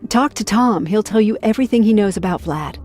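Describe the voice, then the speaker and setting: A woman playing a calm, soft-spoken ally in a video game, gentle and measured. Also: medium pitch, faint music behind, lightly acted. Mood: concerned.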